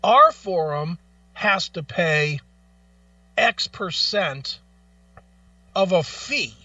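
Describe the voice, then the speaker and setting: A man's voice: sits high, lively intonation, very slow, pushed and bright, no room sound, recorded from a distance, lo-fi sound. A man hosting a talk show with blunt, emphatic, opinionated commentary, casual in style and repeating phrases for stress.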